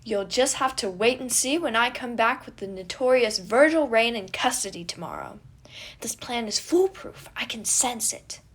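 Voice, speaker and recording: A woman's voice: fairly high pitch, lively intonation, moderate pace, slightly rough, voice pushed up. A bold teenage prince acted by a woman, proud and theatrical. The speech is grandiose and exclamatory, pushed out with showy confidence for a storybook reading. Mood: excited. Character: bold theatrical young prince, boastful and dramatic.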